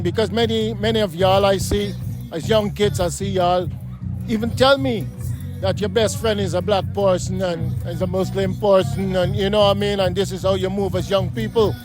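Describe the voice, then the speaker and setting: A middle-aged Guyanese man being interviewed on a city street, talking emphatically and often asking "you know what I mean?". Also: high-pitched. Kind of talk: street interview, casual talk.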